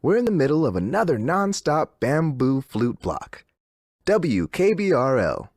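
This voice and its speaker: An actor playing an unsettlingly upbeat, controlling radio DJ, with theatrical patter and erratic, insistent cheer. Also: male voice, medium pitch, very animated, a little slow, a little mellow, no echo, clean studio sound. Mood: smooth, wistful.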